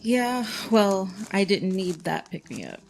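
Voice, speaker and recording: A woman's voice: mid-range voice, big pitch swings, takes it slowly, creaky, darkish tone, soft background music, heavily acted. A woman playing a plain-spoken blind tracker in a fantasy audio drama, explaining things matter-of-factly. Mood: dry.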